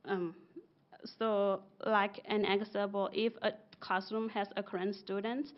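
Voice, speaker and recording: Young woman, Karen first language, quiet halting presenter, muffled lo-fi sound. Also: medium pitch, flat delivery. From Burma. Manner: soft-spoken, halting, with restarts. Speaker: bilingual success coach. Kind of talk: prepared presentation.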